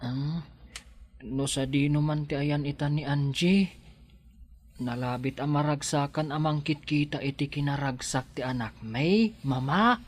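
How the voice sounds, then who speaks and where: A woman's voice: sits low, unhurried. A woman playing an anxious, devoted young husband and expectant father in an Ilocano radio drama, nervous and exclamatory. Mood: subdued.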